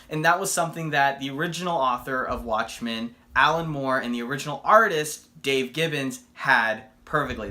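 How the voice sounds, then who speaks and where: A man's voice: mid-range voice, bright, forceful tone. A man giving a casual comic book review in an enthusiastic, emphatic way.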